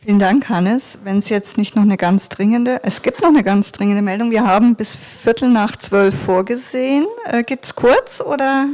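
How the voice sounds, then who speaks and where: A woman's voice: on the high side, normal speed, hardly any pauses, a little mellow. A woman moderating a panel discussion, politely directing the audience, on a handheld mic in a hall. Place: conference hall.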